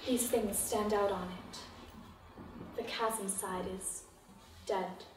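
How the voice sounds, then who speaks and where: A woman reciting poetry in a deliberate way, in short phrases with long pauses between them. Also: mid-range voice, steady tone. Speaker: poetry reader. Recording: echoey room, distant mic, faint noise.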